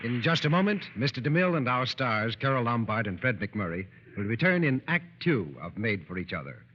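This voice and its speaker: A man's voice: mid-range voice, very animated, brisk, background noise. A man delivering a scripted announcement in vintage radio announcer style. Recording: muffled old radio, long hall reverb.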